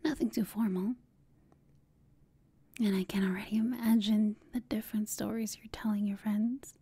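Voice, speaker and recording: A woman playing a confident, teasing female villain in an intimate ASMR roleplay, speaking in a smug, seductive, breathy whisper. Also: medium pitch, steady tone, normal speed, warm tone, no room sound. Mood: flirtatious.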